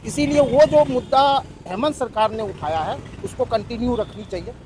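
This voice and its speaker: A man answers a street interviewer. His voice is notably high for a man. There is faint urban noise behind him. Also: lively intonation, normal speed. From Jharkhand, India. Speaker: member of the public being interviewed. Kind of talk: spontaneous opinion in a street interview. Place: outdoors in an urban area.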